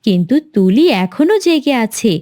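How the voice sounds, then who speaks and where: Female narrator for a children's fable: high voice, very animated intonation, scripted storytelling. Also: normal speed.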